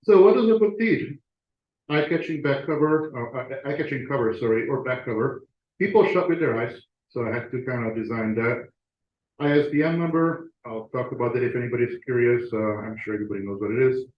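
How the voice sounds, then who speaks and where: A man gives a relaxed presentation to fellow club members, working through slides with fillers and restarts. His mid-pitched voice rings in a roomy meeting space. The recording is dull and lo-fi. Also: a little fast. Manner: conversational with frequent fillers and restarts.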